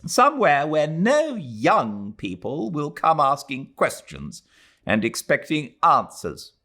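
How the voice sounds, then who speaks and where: A man's voice: fairly high pitch, huge pitch swings, takes it slowly, sharp tone, pitched above the performer's own voice, full character voice. A man voicing a bitter, weary old woman. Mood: sour. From Britain. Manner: sour, clipped, resentful.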